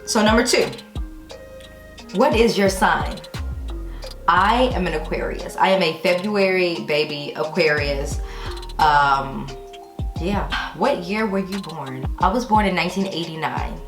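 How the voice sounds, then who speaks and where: A woman's voice: on the low side, unhurried. An American woman in her thirties chatting casually to her viewers as a vlogger, conversational with playfully emphatic asides. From Texas, United States. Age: 30s. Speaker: YouTube vlogger. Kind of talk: casual chatty question-and-answer to camera. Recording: roomy echo with music behind. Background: background music.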